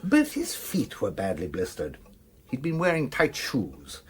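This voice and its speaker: An actor playing an earnest, methodical police detective with an English accent, reporting facts briskly in a radio drama. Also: male voice, medium pitch, wildly swooping pitch. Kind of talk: BBC radio drama acting.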